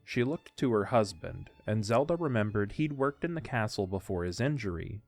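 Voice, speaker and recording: Male narrator for fantasy audio drama: fairly low voice, dry close sound, scripted storytelling, faint music underneath. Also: lively intonation, moderate pace, dry recording.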